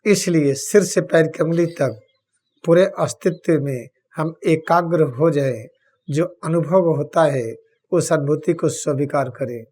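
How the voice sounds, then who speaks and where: A man with an Indian accent leads a guided meditation, speaking solemnly and deliberately in short phrases with long pauses. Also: fairly high pitch, darkish tone. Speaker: spiritual teacher leading guided meditation.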